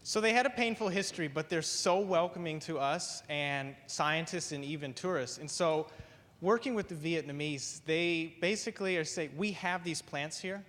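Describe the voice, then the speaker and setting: A man with a slightly high voice talks about his research in a measured way, leaning on "basically". The sound carries the long reverb of a large hall. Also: a bit bright. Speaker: graduate researcher speaker. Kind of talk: prepared talk, then onstage answer.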